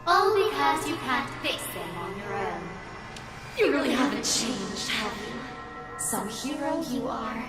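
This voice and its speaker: A woman's voice: fairly high pitch, very animated, slow pace. A woman voicing a cruel, mocking ghostly female apparition for a horror visual novel, with scornful, taunting delivery. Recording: echoing reverb, distant, music underneath.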